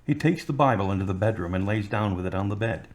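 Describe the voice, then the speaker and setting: A man's voice: on the low side, lively intonation, quick pace. A man reading scripted narration for an audio drama.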